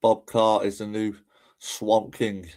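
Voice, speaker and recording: A man's voice: on the low side, level tone, takes it slowly, darkish tone. Man, informal livestream host, chatty and rambling delivery, casual commentary. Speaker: streamer and host.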